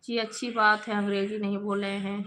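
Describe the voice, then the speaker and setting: A hoarse woman, hosting a casual live stream, reading out viewers' names and greeting them. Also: medium pitch, level tone, fairly quick, bright, forceful tone, slight background noise.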